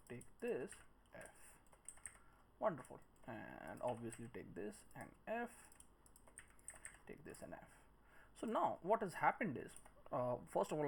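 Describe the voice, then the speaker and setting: A man with a mid-pitched voice narrates a software tutorial in a casual way, talking himself through each step as he works. The recording has clear background noise, with keyboard typing and mouse clicks. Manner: talks through each step while working, muttering counts and shortcuts. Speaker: 3D modelling instructor.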